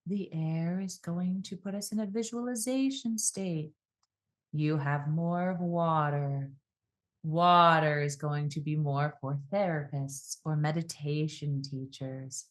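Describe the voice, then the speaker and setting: A woman speaking as a podcast guest and speaking coach, calm and reflective, slowing down and shifting her voice to demonstrate. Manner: calm, varies pace and pitch to demonstrate voice.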